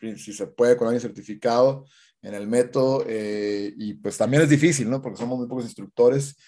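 A man's voice: mid-range voice, normal speed, dark tone. A man, an instructor explaining patiently in an interview, full of fillers and restarts. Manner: hesitant, many restarts and fillers. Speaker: certified cold-exposure instructor. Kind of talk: conversational interview answer.

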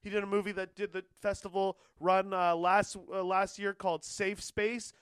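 A man's voice: sits high, flat delivery, normal speed, smooth, dry recording. A man co-hosting a podcast in a small studio, talking casually in a rambling, filler-heavy way and laughing often. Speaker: podcast co-host. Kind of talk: casual chat. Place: small self-tape studio.

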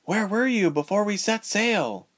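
Man playing guarded young woman, firm, wary, raised pitch, storybook reading. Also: expressive, measured pace, fairly bright tone, heavily acted.

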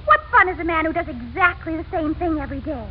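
A starry-eyed girlish romantic in an old radio comedy, with an extremely high voice. She swoons and gushes dramatically over every word. The recording is muffled, with loud noise. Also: expressive, fast talker. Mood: rapturous. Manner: breathless, swooning, dramatic gushing. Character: gushing, starry-eyed young woman infatuated with irresponsible romantic men. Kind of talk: 1940s radio sitcom acting.

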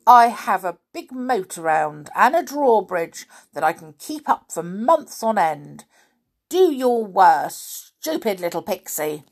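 A woman's voice: mid-range voice, sing-song, slow pace, gravelly, exaggerated voice. Woman putting on a smug, quacking male storybook creature. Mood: scornful. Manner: taunting, smug. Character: big quacking bird-like male creature, mocking, gloating. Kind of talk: children's storybook character voice.

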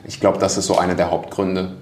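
A man hosting a podcast in casual conversation, talking quickly with many restarts and laughing things off. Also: low voice, level tone, darkish tone. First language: Russian. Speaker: podcast host. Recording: echoey room.

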